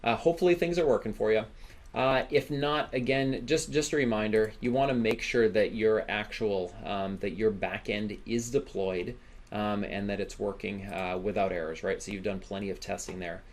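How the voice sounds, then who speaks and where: Low, creaky-voiced man teaching. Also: moderate pace. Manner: casual, informal explaining, often ends phrases with "right?". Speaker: instructor. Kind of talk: software screencast tutorial, thinking aloud while coding.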